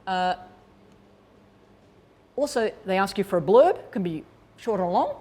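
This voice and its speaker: A woman's voice: mid-range voice, animated, a little slow, warm tone. A woman presenting a workshop in an informal, conversational way, explaining and thinking aloud as she demonstrates. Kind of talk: informal instructional talk to attendees.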